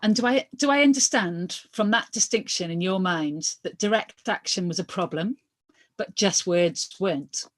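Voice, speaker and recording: A woman's voice: medium pitch, moderate pace. A woman reads aloud in the role of a lawyer at a hearing. Her delivery is formal and questioning, with small stumbles as she works through the transcript.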